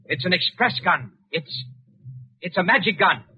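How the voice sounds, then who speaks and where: An actor playing a cool, wily English man, coaxing and deliberate, in 1940s radio style. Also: sits high, lively intonation, husky, heavily acted. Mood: persuasive. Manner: coaxing, deliberate, simplifies words for his listener. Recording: tinny, fuzzy 1940s radio recording.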